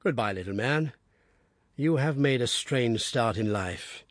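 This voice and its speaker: A man playing a calm, courteous detective who speaks in a measured way. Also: mid-range voice, dry recording, studio quality, lightly acted. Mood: composed. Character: Sherlock Holmes, calm, keen-eyed detective.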